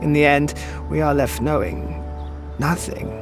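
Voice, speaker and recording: An actor playing a suave, sardonic middle-aged master thief and mentor in an audio drama, with florid, theatrical phrasing. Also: male voice, fairly high pitch, unhurried. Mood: wistful, musing. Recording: music behind the voice.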